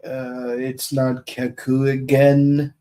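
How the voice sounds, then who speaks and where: A man co-hosting a podcast, chatting casually and joking as he rambles and thinks out loud. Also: mid-range voice, completely flat delivery, slow pace, a little hiss or hum. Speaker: podcast co-host. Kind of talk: casual chat about a TV series.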